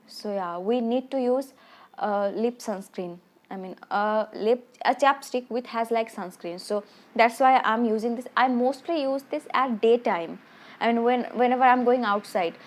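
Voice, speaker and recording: A woman who rambles through a casual product review, full of restarts and fillers, a little self-conscious. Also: on the high side. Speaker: beauty vlogger.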